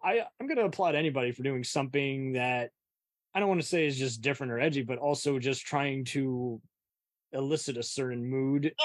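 A man's voice: mid-range voice, a little fast, dry recording. Man interviewing casually, close dry sound. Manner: rambling, opinionated, film-buff commentary.

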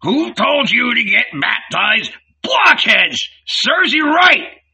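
A man voicing a fat, hungover male innkeeper who growls hoarsely, shouting and mocking. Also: sits high, lively intonation, slow pace, pitched above the performer's own voice, exaggerated voice. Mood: scornful.

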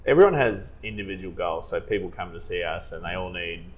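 Low-voiced hesitant male adviser. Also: expressive, brisk, poor audio. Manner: hesitant, many restarts and fillers. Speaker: financial adviser. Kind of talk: casual podcast chat.

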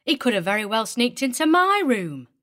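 A woman's voice: fairly high pitch, very animated, moderate pace. A woman playing a boisterous, bossy, aggrieved innkeeper's wife in a dramatised story. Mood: indignant. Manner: boisterous, exclamatory.

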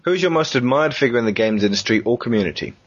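A man's voice: medium pitch, animated, fast, lo-fi sound. A man hosting a casual video game podcast, talking in an informal, conversational way. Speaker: podcast host. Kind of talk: casual chat about video games.

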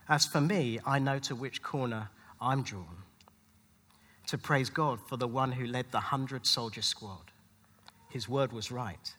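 A male pastor delivering a rhyming spoken-word sermon, dramatic and rhythmic, with emphatic phrasing and deliberate pauses. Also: mid-range voice, a bit bright, husky. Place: large hall at a live church event. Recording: long hall reverb, live event.

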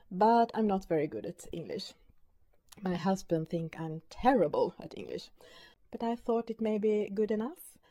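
Swedish-accented woman in her forties, mellow. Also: medium pitch. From Sweden. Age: 40s. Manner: unhurried, with hesitant fillers and repeated words. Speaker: podcast host. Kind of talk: casual personal introduction.

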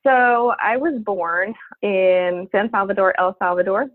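A woman in her forties from New York, a podcast guest chatting casually with lots of fillers and pauses. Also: mid-range voice. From Long Island, New York. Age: 40s. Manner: rambling, frequent fillers and laughs. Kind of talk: casual interview chat. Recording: phone-like narrow sound.

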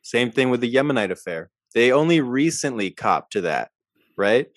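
A young adult man co-hosting a podcast, talking in an animated, emphatic way and often tagging points with "right?". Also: medium pitch, moderate pace, no room sound, studio quality. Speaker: podcast co-host. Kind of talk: casual political discussion.

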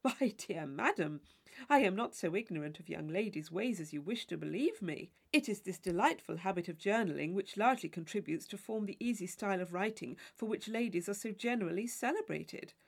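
A woman voicing a witty, teasing gentleman in his mid-twenties, fluent and mock-formal, in a classic novel reading. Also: mid-range voice, animated, brisk, vocal fry. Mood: playful, mock-serious. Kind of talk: classic novel audiobook character voice.

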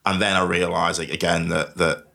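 A man's voice: deep voice, little pitch movement, moderate pace, vocal fry, pushed and bright. A man with a diluted Mancunian accent, telling his life story as an interview guest and often repeating and rephrasing his words. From Manchester, England. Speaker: podcast guest, investment professional. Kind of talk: candid conversational interview, telling life stories.

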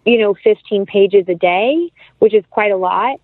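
A woman's voice: mid-range voice, unhurried, no room sound. A woman giving a casual interview as an actress, chatting informally with plenty of "like" and "you know". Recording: phone-like narrow-band line, dry.

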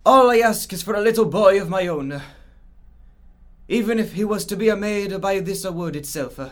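A fussy, lonely old male woodcarver in an audio drama, played by a man. His voice sits high for a man. He rambles and grumbles with theatrical flair. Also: moderate pace, fairly bright tone. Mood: wistful rambling.

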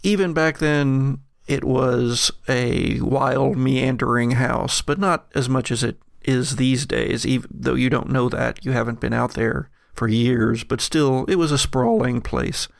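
Creaky-voiced male game master, dry sound. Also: medium pitch, fairly even intonation, a little slow, few pauses, no room sound. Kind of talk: improvised horror narration for a tabletop game.

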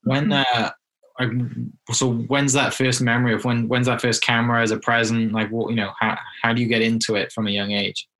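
A man hosting a podcast, asking relaxed questions with plenty of fillers. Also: medium pitch, brisk, rough recording. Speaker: podcast host. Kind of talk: casual interview hosting.

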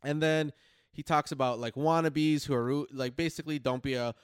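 A man co-hosting a podcast in casual, slangy talk, pausing and rephrasing as he explains his notes. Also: fairly high pitch, fairly even intonation, smooth, no echo, studio quality. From Philippines or Filipino family. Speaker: podcast co-host. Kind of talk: casual chat, summarizing notes.

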